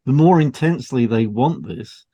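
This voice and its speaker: A man's voice: medium pitch, lively intonation, normal speed, gravelly, dark tone, breathy edge, highs cut off. Man reading an educational podcast script. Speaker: podcast co-host, hypnotherapist.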